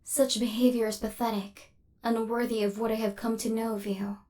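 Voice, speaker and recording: A woman's voice: a little high, little pitch movement, normal speed, dark tone, natural voice. A woman voicing a female robot assassin in an ASMR roleplay, cold and relentless, with clipped, formal, mechanical delivery. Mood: flustered, stern.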